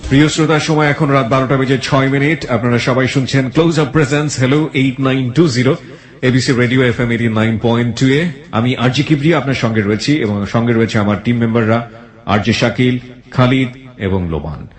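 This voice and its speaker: A man's voice: medium pitch, fairly quick. A man with a Bangladeshi accent presenting a radio show to listeners, mixing English phrases into his talk. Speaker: radio host. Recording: echoey, reverberant voice.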